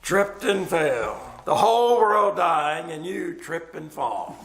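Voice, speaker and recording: A man's voice: a little high, expressive, slow pace, a crowd in the background. A man acting a blunt, practical, cynical survivor of a plague in an old-time radio thriller. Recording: roomy live stage, some noise.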